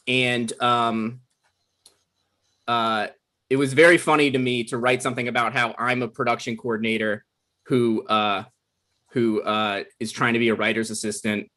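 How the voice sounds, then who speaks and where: A man's voice: medium pitch, pushed and bright. A man with a mid-range voice hosts a table read in a casual way. He rambles as he introduces the cast, apologizing and poking fun at himself as he goes. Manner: rambling, self-deprecating, apologetic. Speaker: writer hosting a table read.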